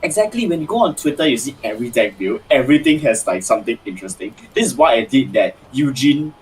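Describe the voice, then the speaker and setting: A man on a card game stream, talking in a lively, bouncing voice. He laughs easily and restarts his sentences as he goes. A faint hum of ambience sits underneath. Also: mid-range voice, fast talker, rough recording. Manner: animated, laughing, many restarts. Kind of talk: casual chat.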